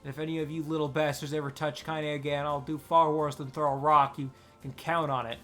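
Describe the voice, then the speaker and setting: A man's voice: medium pitch, moderate pace, fairly bright tone, faint music behind, lightly acted. A man voicing a tiny, vulgar, fierce old grandmother with a coarse, snarling way of talking. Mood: angry, mocking. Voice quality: coarse, snarling, rural grammar.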